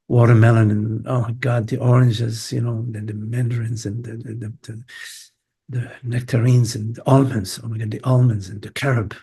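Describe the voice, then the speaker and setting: An older man from Lebanon, a spiritual teacher giving a meandering, halting satsang talk over a video call. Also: medium pitch, vocal fry, dark tone, slightly rough. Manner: meandering, halting, many fillers.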